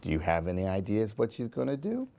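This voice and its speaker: A man's voice: fairly low pitch, huge pitch swings, fast talker, gravelly, warm tone. A man reading a children's story expressively, in a sing-song way, putting questions to young listeners. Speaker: storyteller reading picture books for a library program.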